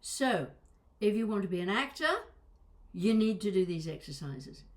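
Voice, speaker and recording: A woman's voice: mid-range voice, animated, normal speed, a little mellow. A woman coaching vocal exercises in a conversational, instructional way, stressing and stretching key words. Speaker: voice and accent coach.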